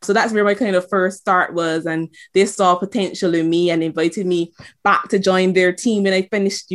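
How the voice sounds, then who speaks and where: Barbadian woman, lower register, conversational guest, relaxed anecdotal delivery. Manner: warm, storytelling, with fillers. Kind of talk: conversational interview.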